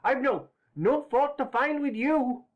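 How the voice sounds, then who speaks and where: A woman's voice: high-pitched, expressive, unhurried, dull audio, pitched above the performer's own voice. A woman reading a storybook voicing a scheming old man who is frantic and wheedling. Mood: agitated. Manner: agitated, wheedling, intense.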